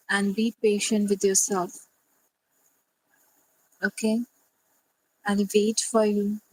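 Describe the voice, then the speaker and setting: A woman leading a guided sleep meditation, speaking gently and soothingly in short phrases with long pauses. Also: medium pitch, poor audio.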